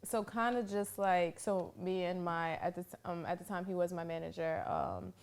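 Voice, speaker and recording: Chatty woman from New Jersey, low voice. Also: steady tone, normal speed, creaky. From Jersey City, New Jersey, US. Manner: loose and chatty, many fillers and repeated phrases. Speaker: guest, rapper. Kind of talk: casual interview chat.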